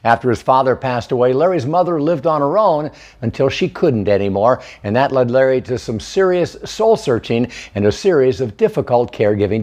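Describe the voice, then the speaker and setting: Male TV feature narrator over soft music. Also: mid-range voice. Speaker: TV host and narrator.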